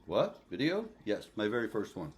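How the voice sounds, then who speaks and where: A man's voice: lowish voice, huge pitch swings, moderate pace. A man of sixty vlogging to camera, blunt and profane, often checking in with "okay?", his voice picked up by the camera mic at home. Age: 60s. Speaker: fitness vlogger. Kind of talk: casual vlog to camera.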